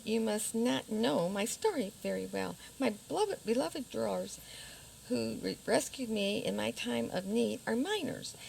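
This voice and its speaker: A woman's voice: fairly high pitch, gravelly, a little hiss or hum, exaggerated voice, rough recording. A woman playing an indignant, sarcastic fairy-tale princess pleading her case with pointed delivery in a radio theater comedy.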